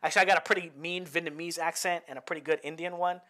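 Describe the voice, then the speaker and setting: A man's voice: on the high side, steady tone, racing, pushed and bright. A man from the Bay Area, a boxing coach, talking casually through fight footage and often checking in with "right?". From Bay Area, United States. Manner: chatty, tags many lines with "right?", laughs at own jokes. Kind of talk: casual fight-film breakdown.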